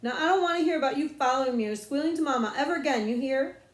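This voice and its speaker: Woman voicing an exasperated, blustering country schoolboy, loud swoops. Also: high-pitched, expressive, racing, reverberant, voice pushed up. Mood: desperate, bossy. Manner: folksy, ungrammatical, blustering. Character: exasperated, impatient country schoolboy, bossy big brother. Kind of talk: audiobook character voice.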